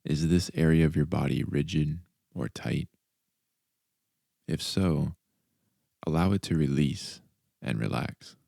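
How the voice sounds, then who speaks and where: A man with an extremely low voice leads a guided meditation. His tone crackles with vocal fry, and he gives calm, measured instructions in short phrases. The sound is dry and close, with no room ring. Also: no room sound. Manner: calm, deliberate, soft instructional delivery with pauses. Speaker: mindfulness coach.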